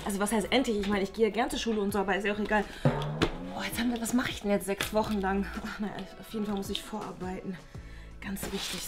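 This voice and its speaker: A woman's voice: mid-range voice, steady tone, quick pace, lightly acted. A young woman playing an over-eager, nerdy schoolgirl in a comedy sketch, earnestly muttering to herself. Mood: earnest, busy. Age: young adult. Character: over-eager, nerdy model pupil, a fussy girl. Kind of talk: acted comedy sketch for online video. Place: room indoors, at a table. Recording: music behind the voice.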